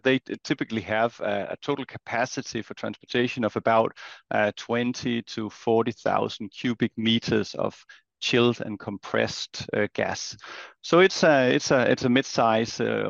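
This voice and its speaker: A man's voice: medium pitch, creaky, no room sound. A man speaking as a company chief executive in an interview, explaining at length and repeating words often. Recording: dull lo-fi, dry sound.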